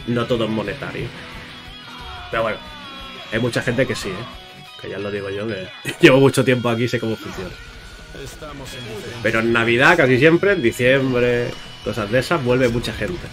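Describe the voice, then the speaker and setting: A man giving casual, chatty video game commentary, speaking informally and directly to his viewers with playful exclamations. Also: medium pitch. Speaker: gaming YouTuber. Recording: music under the voice. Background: music.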